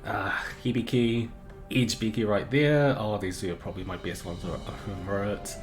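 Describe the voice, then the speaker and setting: Guy streaming a game, sore throat, kinda droning and rambly, echoey room, soft music behind. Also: fairly low pitch, unhurried. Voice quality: monotonous, droning. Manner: rambling, self-correcting, chatty. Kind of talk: casual live stream chat, reading chat.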